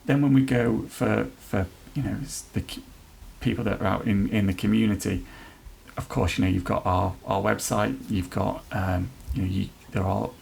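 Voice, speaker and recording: A man who leads an NHS trust's inclusion work, answering podcast questions in an earnest, halting way with many restarts. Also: on the low side, fairly even intonation, vocal fry, a little mellow, husky. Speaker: NHS trust leader chairing an inclusion council.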